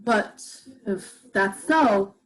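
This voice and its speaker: A woman's voice: mid-range voice, animated, very slow, poor audio. A young woman playing a lost, despondent wanderer in an amateur radio play, speaking in a hesitant, subdued, questioning way. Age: young adult. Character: lost, despondent wanderer after an alien invasion. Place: living room. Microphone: headset on video call.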